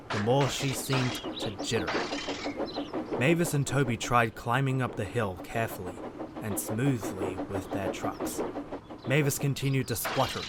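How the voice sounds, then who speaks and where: A man's voice: mid-range voice, very noisy. A man narrating a scripted children's story as the narrator of an audio drama.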